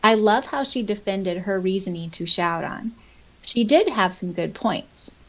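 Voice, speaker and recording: A woman with a medium-pitched voice co-hosts a casual fan podcast. She talks in a chatty, informal way and is quick to giggle. Her delivery is conversational, moving between reading comments and reacting to them. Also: animated, poor audio. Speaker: podcast co-host.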